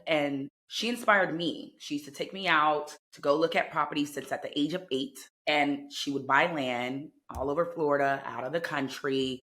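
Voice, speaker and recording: A young woman talks about her life as a podcast guest. Her voice is bright and forceful, and she speaks enthusiastically, rushing through some phrases. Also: low-pitched. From United States. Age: young adult. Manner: warm, enthusiastic, quick bursts of speech. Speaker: guest, real estate entrepreneur. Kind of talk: casual interview chat.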